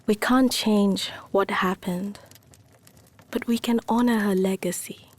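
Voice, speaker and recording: An actress playing an earnest, supportive young member of an activist collective in an animated motion comic. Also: female voice, medium pitch, takes it slowly. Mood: sorrowful, hushed.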